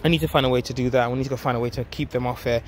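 Guy in his 30s doing a casual garden vlog, upbeat n emphatic, normal pitch, bit of outdoor traffic noise. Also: steady tone, racing. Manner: enthusiastic, emphatic, addresses viewers as guys. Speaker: vlog host, allotment gardener. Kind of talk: casual handheld garden update to viewers. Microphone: handheld phone at close range. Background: outdoor ambience, vehicle noise.

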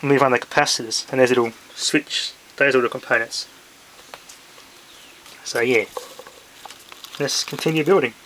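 A man's voice: medium pitch, fairly bright tone. A man presenting a hands-on hobby demonstration casually, thinking aloud, recorded indoors on a handheld camera. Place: indoors in a home room. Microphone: handheld camera or phone. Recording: noisy handheld home video, clicks.

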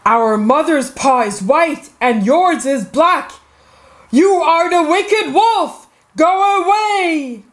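A woman voicing innocent, excitable little goat kids in a children's fairy tale. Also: high-pitched, measured pace, long pauses, pitched above the performer's own voice. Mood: defiant.

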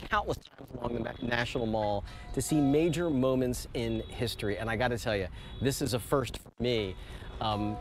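Man in his 50s reporting outdoors. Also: medium pitch. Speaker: TV news reporter. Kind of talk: live news report and voice-over narration. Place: outdoors on a large open city lawn.

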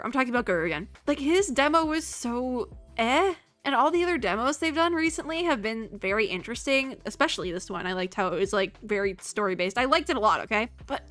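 A young woman giving casual, excitable reaction commentary as a video host, often repeating herself. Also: on the high side.

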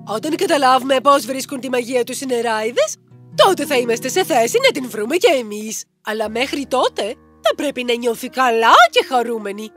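A woman's voice: fairly high pitch, animated, lightly acted. A woman voicing a scheming, coaxing older woman scientist in a cartoon fairy tale. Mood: calculating. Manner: calculating, coaxing. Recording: music under the voice.

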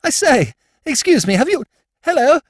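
A man's voice: sing-song, moderate pace, dry recording, studio quality. A man putting on a prattling young lordling. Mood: chirpy. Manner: high-pitched, breathless, gushing. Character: prattling weedy young English lord.

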